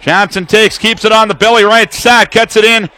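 A male play-by-play announcer with a high-pitched, bright and forceful voice calls live football for radio. He lays out the situation and the action in clipped, detailed phrases. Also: fairly even intonation, normal speed.

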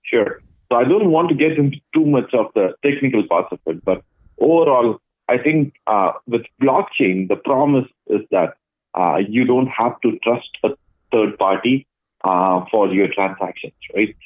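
A man's voice: medium pitch, animated, normal speed, no room sound. A man from Chennai, India, answering interview questions thoughtfully, with frequent hesitations. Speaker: guest, blockchain project lead. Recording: tinny phone line.

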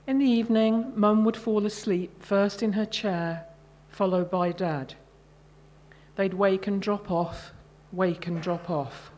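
A woman reading her own short story at a live literary event in a measured, deliberate way. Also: medium pitch, warm tone.

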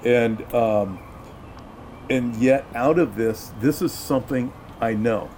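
Male American pastor as interviewer, soft mellow tone, earnest and emphatic, faint noise behind. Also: medium pitch, slow pace. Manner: earnest, emphatic, repeats phrases.

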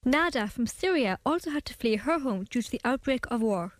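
A young Irish woman with a slightly high voice, recorded dry and close. She talks things through thoughtfully, sometimes hesitating. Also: expressive, brisk, dry recording. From Ireland. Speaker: guest and documentary maker. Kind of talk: interview chat and scripted narration.

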